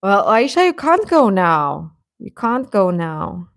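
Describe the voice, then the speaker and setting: A woman's voice: mid-range voice, sing-song, slow, creaky, no echo. A woman hosting a livestream, chatting casually and reacting to viewers, often repeating her phrases. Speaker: livestream host.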